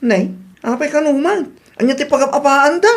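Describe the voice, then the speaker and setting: A woman's voice: high voice, moderate pace. A woman playing an easygoing, apologetic family man who obeys his wife, in a radio drama. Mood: cheerful. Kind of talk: Ilocano radio drama acting.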